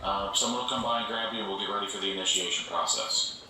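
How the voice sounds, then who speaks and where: A man's voice: on the low side, quick pace, sharp tone. A man playing a genial, showy organization leader giving an upbeat, folksy speech to members in an audio drama. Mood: upbeat, rallying. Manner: upbeat, folksy public speaker, salesy. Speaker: community engagement officer giving announcements. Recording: very echoey public-address sound with clear noise.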